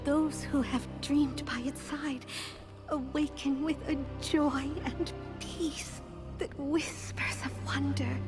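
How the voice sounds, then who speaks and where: A woman playing a gentle, earnest fairy pleading reverently with her queen in a fantasy game cutscene. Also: high voice, steady tone, slow pace, over music.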